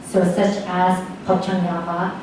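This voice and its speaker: A woman lecturer answering audience questions in a tentative, halting, thinking-aloud way. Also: fairly low pitch, level tone. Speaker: lecturer presenting research on radio dramas. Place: echoey indoor lecture room. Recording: echoey room, distant mic, faint noise.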